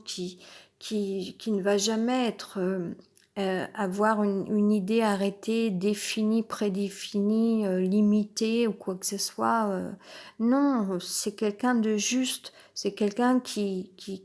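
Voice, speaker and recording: Woman with a cold, rambling and hesitant. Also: mid-range voice. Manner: rambling, hesitant, many fillers and restarts. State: has a cold, stuffy. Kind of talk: improvised personal monologue. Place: uncomfortable spot with noise overhead.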